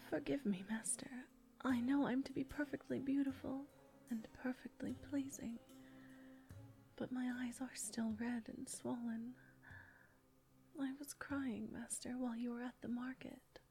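A woman playing a young pregnant captive woman who is tearful and devoted, speaking in a soft breathy whisper with halting, repeated words. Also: high voice, fairly even intonation, soft background music. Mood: sorrowful. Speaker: audio roleplay performer. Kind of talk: intimate audio roleplay.

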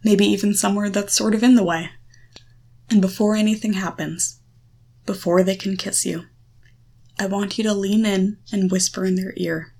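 A woman guiding the listener through a visualization, coaching in short, deliberate phrases with a reassuring, instructive manner. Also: medium pitch, fairly even intonation, vocal fry, a little mellow. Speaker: guide leading a guided erotic confidence exercise. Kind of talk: guided visualization, second-person coaching.

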